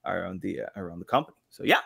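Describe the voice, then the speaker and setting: A man in his thirties talking casually as a podcast guest, halting as he searches for words. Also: low-pitched, gravelly, breathy edge. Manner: halting, searching for words, animated quoted asides. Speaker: guest, sound designer and community engagement director at a game studio. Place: small home studio room, indoors. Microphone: microphone on boom arm.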